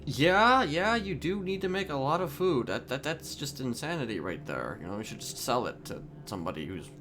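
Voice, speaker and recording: A man playing an eccentric, playfully creepy inmate in improvised online role-play, musing haltingly and repeating his phrases. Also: medium pitch, sing-song, over music, lightly acted.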